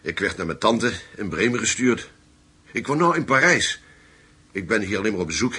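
A man's voice: lowish voice, expressive, moderate pace, sharp tone, slightly rough, full character voice. An actor in a radio drama playing a polite, hesitant man who seeks out old comrades. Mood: apologetic, polite. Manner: courteous, hesitant.

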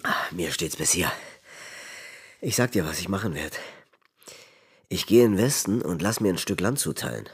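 A very deep-voiced, gravelly working man in a radio play. He talks colloquially and earnestly, like a simple driver dreaming of a farm, and his speech swings in melody. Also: big pitch swings, moderate pace, pauses often, no echo, clean studio sound, exaggerated voice. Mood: hopeful, earnest. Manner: colloquial, plain-spoken. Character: working-class milk wagon driver dreaming of farm life. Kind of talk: German radio play dialogue.

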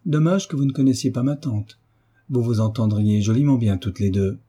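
A man's voice: mid-range voice, moderate pace, dark tone, husky, natural voice. A man voicing a frank, stubborn thirteen-year-old schoolgirl with a dryly defiant manner in a storybook reading. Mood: cheeky.

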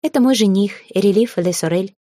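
A woman's voice: medium pitch, a little fast, mellow, dry recording, studio quality, lightly acted. A woman voicing a stubborn, plain-spoken young heroine newly made an aristocrat, in a fantasy storybook. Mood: amused, polite.

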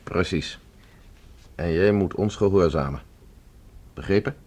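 An actor playing a resolute escaped professor in a science fiction radio play, giving terse orders. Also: male voice, deep voice, unhurried, darkish tone, slightly rough. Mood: firm, commanding. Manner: terse, imperative, gives orders. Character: commanding escaped professor, prisoner on Mars, urgent and resolute.

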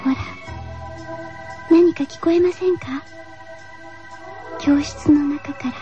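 A woman's voice: high-pitched, fairly even intonation, slow pace, warm tone, voice pushed down. A woman playing an earnest, fearful schoolgirl class monitor who senses eerie things, in a horror radio drama. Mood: wary. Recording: dull lo-fi radio recording with music.

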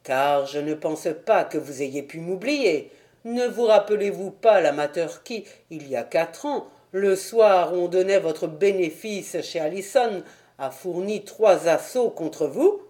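A woman's voice: lowish voice, expressive, unhurried, warm tone. A woman voicing a self-assured, wry male detective with a composed manner in an audiobook story. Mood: confident.

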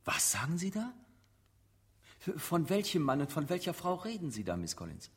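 Husky, probing defence lawyer. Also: male voice, fairly high pitch, huge pitch swings, moderate pace, heavily acted. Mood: startled. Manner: pointed, incredulous courtroom questioning. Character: probing, sceptical male defence lawyer, insistent and formal. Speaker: actor in a radio play. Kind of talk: German radio drama acting.

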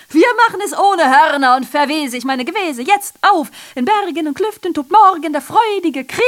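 A woman acting a sardonic messenger in a literary radio play, declaiming ironically and constantly correcting herself. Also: sits high. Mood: mock-festive, declamatory. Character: sardonic female messenger reporting atrocities, digressive and self-correcting. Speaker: radio drama performer. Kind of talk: literary radio play monologue, theatrical narration.

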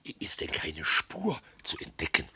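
Radio comedy casting: blustering, officious mayor, huge pitch swings, rough-edged forceful tone, quick to panic. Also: male voice, wildly swooping pitch, dry recording, in a whisper, exaggerated voice. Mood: indignant, aggrieved. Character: pompous, easily panicked small-town mayor. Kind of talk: theatrical comic radio play acting.

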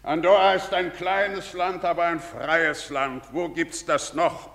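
An actor playing a pompous, self-important, bigoted middle-aged village doctor, declaiming in a theatrical stage manner. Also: male voice, fairly high pitch, fairly even intonation, unhurried, fairly bright tone. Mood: boastful. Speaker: stage actor in a broadcast drama. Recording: reverberant theatre stage recording.

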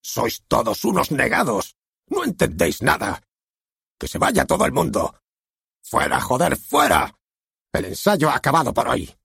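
A man's voice: big pitch swings, measured pace, dry recording, clean studio sound, full character voice. A man voicing a bombastic, swearing theater director. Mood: furious. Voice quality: gravelly, husky, swinging to high shouts. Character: explosive, self-dramatizing male theater director.

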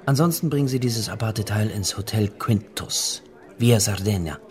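A man's voice: lowish voice, moderate pace, a little mellow, close to an everyday voice. An actor playing a chatty, melancholy man with an urbane, wry, persuasive manner in a German radio play. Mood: wry, insistent. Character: chatty, melancholy man coaxing a new acquaintance.

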